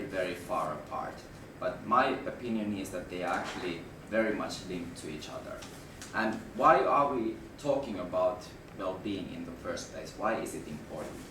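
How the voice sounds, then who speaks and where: A man with a Finnish accent gives a talk to an audience, his voice hoarse from a flu and somewhat low. He stops and restarts words, then pushes up for emphasis. He is picked up from a distance in an echoey room over a steady background noise. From Finland. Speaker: management consultant presenter. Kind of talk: presentation to an audience.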